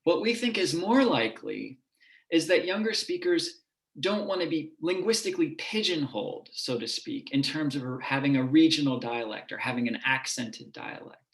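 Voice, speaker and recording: Professor type, man, fairly high voice, steady explanatory lecture, roomy echo. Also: vocal fry. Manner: measured and explanatory, with occasional restarts. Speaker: university linguistics professor giving a public talk. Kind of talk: lecture presentation. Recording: echoey room.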